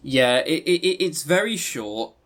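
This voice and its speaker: A man's voice: a little high, lively intonation, measured pace, a bit bright. A man co-hosting a podcast in casual, unscripted chat, with wry, self-deprecating humour. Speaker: podcast co-host.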